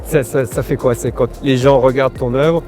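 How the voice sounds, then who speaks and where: A man's voice: mid-range voice, fairly even intonation, normal speed, darkish tone, soft background music. A man interviewing an artist in a friendly, informal way, often repeating words as he asks questions. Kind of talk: casual interview at an art fair.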